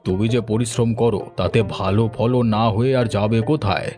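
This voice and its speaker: A man plays a village boss in a cartoon. The voice is low, authoritative and patronising, with faint music in the background. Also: steady tone, moderate pace, dark tone, lightly acted. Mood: pleased. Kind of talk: Bengali cartoon story dialogue.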